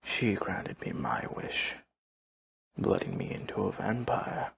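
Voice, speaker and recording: A courteous old vampire servant speaks at an unhurried pace with a heavy fry in his low voice. His delivery is formal and drained of emotion, as if reporting to a guest in an audio roleplay. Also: heavily acted. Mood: flat, solemn. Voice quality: gravelly, breathy husk. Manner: formal, measured, deadpan, no contractions. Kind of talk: audio roleplay acting, addressing the listener.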